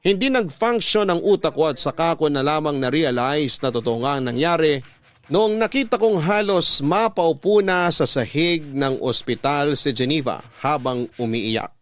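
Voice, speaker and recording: A man's voice: a little high, lively intonation, normal speed. A man with a Filipino accent narrating a scripted first-person story in classic radio storytelling style. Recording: music under the voice.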